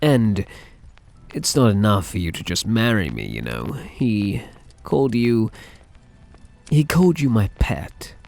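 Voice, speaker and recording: A man playing a soft, lovesick young mafia heir in an ASMR roleplay, speaking in a hesitant, tender, halting way. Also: fairly low pitch, huge pitch swings, gravelly, no room sound, full character voice. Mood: tender, nervous confession.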